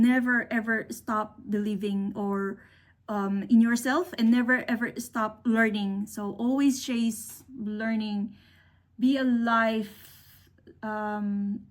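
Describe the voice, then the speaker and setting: A woman's voice: fairly high pitch, darkish tone. A middle-aged woman from the Philippines, whose first language is not English, giving an earnest, motivational talk to young engineers, with fillers and restarts. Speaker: speaker.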